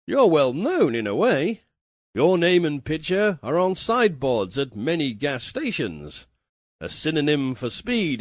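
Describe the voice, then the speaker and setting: A man's voice: mid-range voice, dry recording, studio quality. A man voicing a world-weary American poet in a storybook reading, wry, sardonic and formal.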